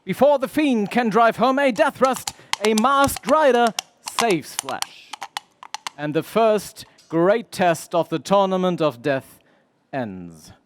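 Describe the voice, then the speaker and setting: A man's voice: high-pitched, animated, slow, slight background noise. A man narrating an adventure radio serial in a theatrical, suspenseful scripted style.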